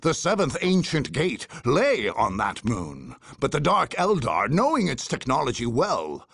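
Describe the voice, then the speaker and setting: An actor playing a grave, portentous narrator of a dark war chronicle in a game cutscene, with a gravelly, husky voice and measured delivery. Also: male voice, mid-range voice, huge pitch swings, dry recording, studio quality, full character voice.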